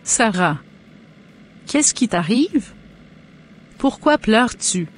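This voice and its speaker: A woman's voice: on the high side, sing-song, slow, a little hiss or hum. Woman acting a devout, reassuring middle-aged mother. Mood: concerned, reassuring. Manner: solemn, reassuring, formal. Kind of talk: scripted cartoon dialogue.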